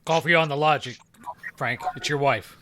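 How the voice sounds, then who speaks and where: A man's voice: fairly high pitch, moderate pace, pushed and bright, soft background music. A man acting a glum, resigned repairman sidekick in a radio comedy. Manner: gloomy, resigned. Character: glum, wry male repairman coworker.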